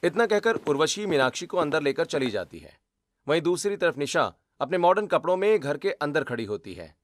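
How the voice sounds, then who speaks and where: A man's voice: fairly high pitch, sing-song, a little fast. A man narrating a scripted story as its storyteller.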